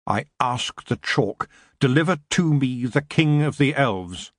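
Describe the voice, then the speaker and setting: A man reading the lines of a young girl witch, soft and earnest, in his own voice. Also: mid-range voice, lively intonation, a little slow, no room sound, clean studio sound. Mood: solemn.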